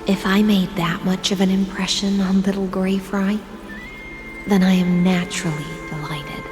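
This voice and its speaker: A woman's voice: mid-range voice, flat delivery, normal speed, a little mellow, natural voice. A woman playing a regal, enigmatic and protective sea lady in a video game, with poised, lofty, formal speech. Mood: amused, lofty. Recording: echoey reverberant hall, music behind. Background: music.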